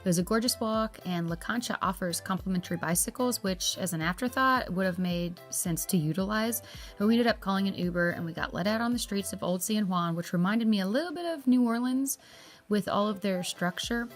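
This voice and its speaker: A woman's voice: mid-range voice, a little fast, soft background music. A woman with a Southern US accent, narrating a chatty, upbeat travel review in a folksy way. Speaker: travel vlogger.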